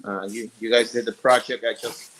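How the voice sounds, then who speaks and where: A man's voice: lowish voice, fairly even intonation, moderate pace, a bit bright, poor audio. A man from Mexico with a Mexican Spanish accent, sharing business tips in an easygoing, hesitant way. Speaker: small business owner. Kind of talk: casual tutorial chat.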